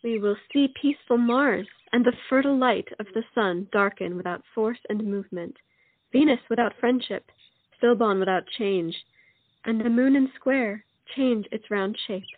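A woman's voice: a little high, normal speed, no echo, narrow, tinny audio, close to an everyday voice. An actress playing a bold, poetic young lady of a wealthy colonial family, forthright and theatrical in a comic audio play.

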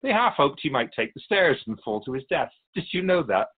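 A man's voice: mid-range voice, sing-song, husky, narrow, tinny audio. A man playing a wise otherworldly keeper of souls in a radio drama, courteous, philosophical and wry as he tells stories. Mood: wry, storytelling. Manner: measured, philosophical, gently challenging. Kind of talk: radio drama dialogue, storytelling.

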